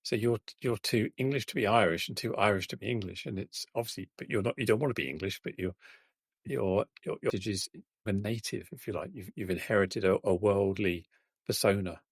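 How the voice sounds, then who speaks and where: A man hosting a podcast interview, relaxed and repeating words as he forms his thoughts. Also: on the low side, brisk, slightly rough, no room sound, clean studio sound. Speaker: podcast host. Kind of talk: interview conversation.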